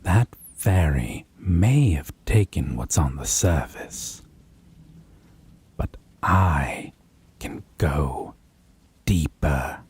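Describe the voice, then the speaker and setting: Man acting a very slow, husky, deep-voiced vampire. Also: lively intonation, darkish tone, full character voice. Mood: predatory hunger. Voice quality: husky, breathy, low. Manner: slow, taunting, drawn-out phrasing with whispered words. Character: possessive, menacing, predatory male vampire, wounded yet domineering. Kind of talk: dramatic audio roleplay addressed to the listener.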